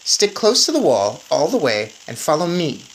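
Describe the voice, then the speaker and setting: A woman's voice: sits low, very animated, normal speed, a little hiss or hum, low-quality recording. A woman voicing a sensible young boy turned mouse in a storybook, earnestly explaining and coaxing. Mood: urgent.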